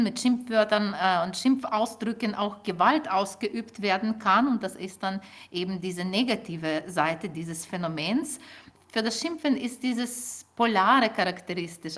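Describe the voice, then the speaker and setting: A middle-aged woman, a native Ukrainian speaker, answering interview questions as a linguist in a measured, explanatory way with frequent fillers. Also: mid-range voice, fairly bright tone. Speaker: linguist guest.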